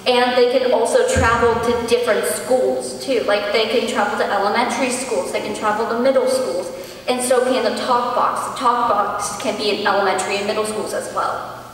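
A woman's voice: fairly high pitch, steady tone, normal speed. A teenage female student giving a presentation and answering questions in a large hall. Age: teens. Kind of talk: presentation and audience Q&A. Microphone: far camera. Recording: very echoey hall, distant, faint noise.

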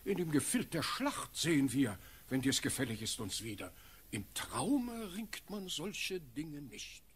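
An actor playing a stern, commanding ruler in a classical German radio drama, measured and imperious. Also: male voice, on the high side, sing-song, noisy background. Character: commanding elector prince, curious, stern, aloof.

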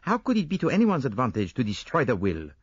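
A man voicing an older, fussy and excitable Belgian detective with a pompous, theatrical manner. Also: medium pitch, quick pace, slightly rough, dry recording, lo-fi sound, pitched above the performer's own voice. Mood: self-assured.

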